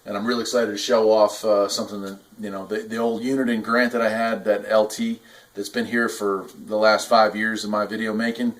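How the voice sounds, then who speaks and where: A man's voice: on the low side, little pitch movement, a little fast. A man giving a casual, unscripted product review, explaining things hands-on in a rambling, enthusiastic hobbyist way. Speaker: radio hobbyist reviewer and video host.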